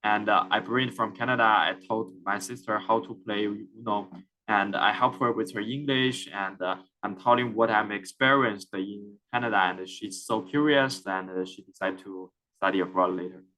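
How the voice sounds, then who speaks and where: Young man, Chinese accent, student presentation, low voice, halting with fillers. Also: steady tone, pushed and bright, low-quality recording. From China. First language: Mandarin Chinese. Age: young adult. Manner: halting, many fillers and restarts. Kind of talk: class presentation.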